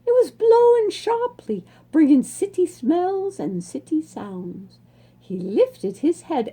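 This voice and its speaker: A woman storyteller with a high, mellow voice reads a children's book aloud. She takes her time, savoring the words and pausing for dramatic effect.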